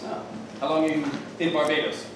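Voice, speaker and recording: A man's voice: fairly high pitch, completely flat delivery, a little fast, close to an everyday voice. A middle-aged man reading the part of a kindly, encouraging American tourist in his forties in a staged play reading. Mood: friendly, easygoing. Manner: casual, reassuring. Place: wood-paneled mansion room. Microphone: distant camera microphone. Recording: very echoey room, distant mic, faint noise.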